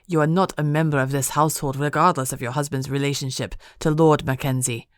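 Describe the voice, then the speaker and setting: A man's voice: on the high side, steady tone, a little fast, clear, resonant tone, dry recording, studio quality, lightly acted. A woman voicing an indignant, threatening male servant who barks his words. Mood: barking. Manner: barking, brusque.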